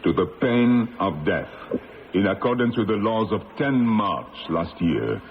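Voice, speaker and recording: An actor playing a stern revolutionary tribunal judge, formal and declamatory, in an old-time radio drama. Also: male voice, fairly low pitch, very animated, unhurried. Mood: stern, official. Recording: noisy, very narrow-band old radio.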